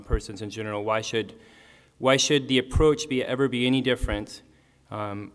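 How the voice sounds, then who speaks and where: A man's voice: medium pitch, fairly bright tone, clear, resonant tone, in a large hall. A man on a legal panel answering a question hesitantly, restarting phrases with many fillers.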